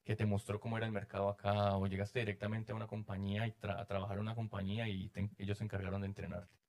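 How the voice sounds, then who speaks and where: A man hosting a casual interview show, friendly and quick to laugh, with short backchannel replies. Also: on the low side, flat delivery, darkish tone. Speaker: host.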